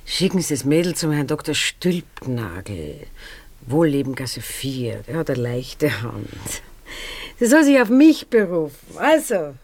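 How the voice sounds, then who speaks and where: Shrewd Viennese baroness, swooping intonation. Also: female voice, low voice, big pitch swings, unhurried, a little mellow, heavily acted. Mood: confiding, insinuating. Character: shrewd Viennese baroness, coolly meddling and insinuating. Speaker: radio play actor. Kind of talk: naturalistic radio drama dialogue.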